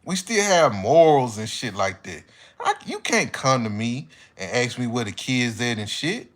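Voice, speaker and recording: A man's voice: mid-range voice, sing-song, unhurried. Blunt American man, casual and slangy. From United States. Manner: blunt, heavy swearing and slang. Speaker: online commentator. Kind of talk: casual opinionated monologue.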